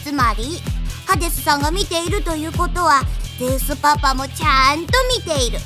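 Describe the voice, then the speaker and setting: Comedy audio drama: actress as cute, detached boy deity, childish quirky speech, very high pitch. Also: fairly quick, close to an everyday voice. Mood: matter-of-fact. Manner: childlike, quirky repeated sentence endings. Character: cute, cheeky, detached boyish young messenger god. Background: music.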